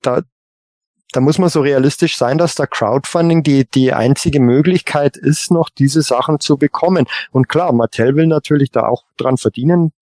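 A man on a casual podcast panel, reasoning in a halting way and often repeating his words. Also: mid-range voice, darkish tone, no echo, studio quality.

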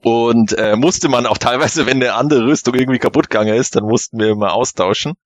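A male podcast panelist reminisces in an easy conversational style. His pitch is middling for a man. The recording is dry, without audible room. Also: fast, no room sound. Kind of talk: casual reminiscing chat.

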